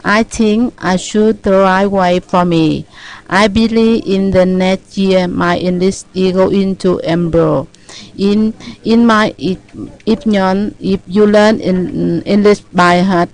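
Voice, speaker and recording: A woman from Vietnam with a Vietnamese accent, an adult English learner speaking haltingly and searching for words. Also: on the low side, steady tone, darkish tone, rough recording.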